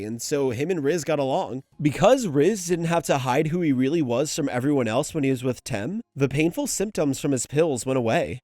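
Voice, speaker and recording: A man's voice: mid-range voice, a little mellow, no echo, studio quality. A young man giving casual, conversational scripted narration as a video essayist. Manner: informal, conversational.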